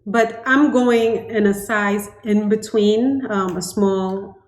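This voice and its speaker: A woman's voice: mid-range voice, fairly even intonation, slow. Chatty woman co-host in echoey room. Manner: chatty, laughs often, trails off with ums. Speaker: podcast co-host. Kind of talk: casual chat about knitting. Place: home living room, indoors.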